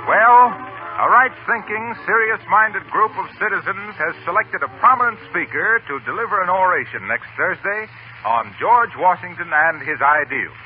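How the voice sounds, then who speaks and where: High-pitched American 1940s radio announcer man. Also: expressive, moderate pace, music in the background. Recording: dull 1940 radio broadcast recording.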